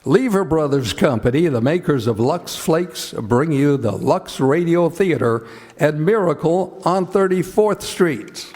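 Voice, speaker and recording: A man announcing in the style of 1940s radio, with a measured, deliberate delivery, as the host of a show. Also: a little high, expressive, a little mellow.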